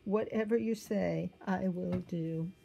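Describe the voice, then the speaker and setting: A woman voicing a gentle, sorrowful man who prays in a soft, pleading voice, as part of a storybook read-aloud. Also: high-pitched, steady tone, takes it slowly, creaky, heavily acted.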